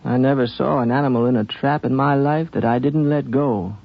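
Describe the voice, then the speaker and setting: An actor playing a gentle, earnest frontier wanderer in a 1950s radio drama, musing and folksy, with halting phrasing. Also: male voice, mid-range voice, fairly even intonation, vocal fry, close to an everyday voice. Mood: earnest, reflective. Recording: dull 1950s radio broadcast.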